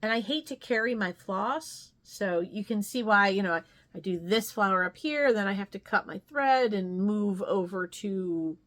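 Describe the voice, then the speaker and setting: A woman talks at a slow, unhurried pace in a casual craft-vlog style. Her voice sits at a middling pitch, and she rambles a little, thinking aloud as she goes. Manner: rambling, thinking aloud, trailing tag questions. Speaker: craft vlogger. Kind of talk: casual chat, showing stitching projects.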